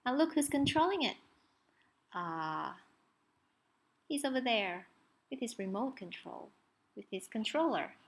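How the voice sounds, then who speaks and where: Lively, high-pitched woman reading to children. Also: measured pace, pauses often, husky. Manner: expressive, asks the listener questions, points things out. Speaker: storyteller reading a children's picture book. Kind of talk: children's read-aloud with chatty asides to young listeners.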